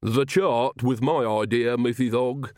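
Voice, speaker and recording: A man voicing a loyal, proud, gloomy monstrous castle manservant in an audiobook, with slow, deliberate, deferential talk. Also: mid-range voice, expressive, no room sound, clean studio sound, natural voice. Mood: proud, obliging.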